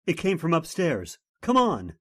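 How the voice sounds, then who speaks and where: A man playing a concerned, steady young hero in old-time radio horror, speaking in an earnest, dramatic way. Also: fairly high pitch, measured pace, a little hiss or hum, exaggerated voice. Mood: anxious.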